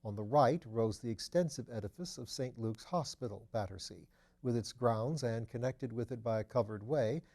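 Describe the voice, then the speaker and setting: A man narrates an audiobook in a soft-edged, mellow voice of medium pitch. His phrasing is measured and careful, suited to literary prose. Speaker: audiobook reader.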